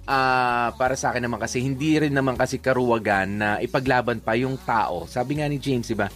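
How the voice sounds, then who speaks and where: A Filipino man co-hosts a radio advice show over faint music. He has a mid-pitched voice and talks conversationally, mixing languages and acting out small examples to make his point. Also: fairly quick, a bit bright. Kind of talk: casual relationship advice chat with Tagalog-English code-switching.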